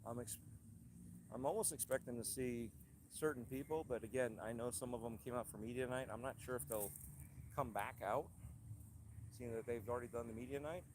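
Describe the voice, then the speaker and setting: A man with a cold-hoarse voice, hosting a casual outdoor live stream and reading out viewer comments. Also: mid-range voice, steady tone, brisk, noisy background. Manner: rambling, chatty, reads out viewer comments. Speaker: channel host. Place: outdoors at a haunted trail attraction. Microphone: handheld camera rig.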